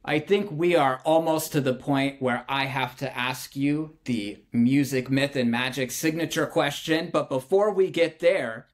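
A man's voice: mid-range voice, steady tone, measured pace, hardly any pauses. A man in his thirties hosting an interview from home over a video call, asking questions in an upbeat way. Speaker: podcast host. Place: home room with bookshelf.

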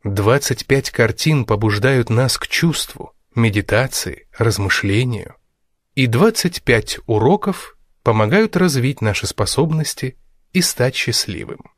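A man reads a nonfiction book as a scripted narration. His voice sits at an average male pitch, and he phrases deliberately, leaving measured pauses. The sound is dry, with no room around it. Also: animated, no room sound. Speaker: audiobook narrator.

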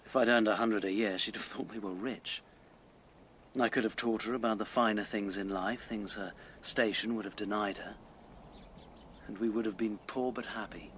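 An actor playing a proud, melancholy, impoverished Victorian writer in a radio drama, with an earnest, literary English delivery. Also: male voice, fairly low pitch, fairly even intonation, normal speed, a bit bright, a little hiss or hum, close to an everyday voice. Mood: wistful, brooding. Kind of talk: BBC radio drama acting.

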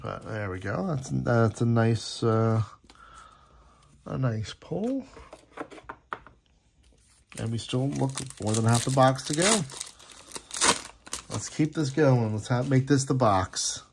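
Gravelly-voiced man chatting through a hobby video. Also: medium pitch, expressive, slow pace, long pauses, background noise. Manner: chatty, appreciative, reading names aloud. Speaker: host opening sports card packs. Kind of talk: casual running commentary. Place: indoor room at a tabletop.

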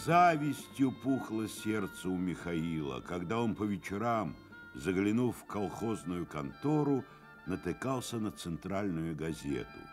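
A man's voice: deep voice, sing-song, unhurried, faint music behind. A man narrating a literary radio drama about a postwar village. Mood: measured narration.

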